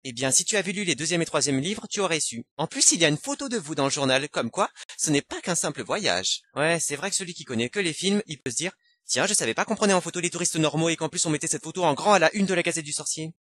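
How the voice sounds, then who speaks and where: Man as deadpan teenage wizard sidekick. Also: on the high side, fast talker, hardly any pauses. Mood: dry, mocking. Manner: dry, sarcastic. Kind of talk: comic fan parody dub.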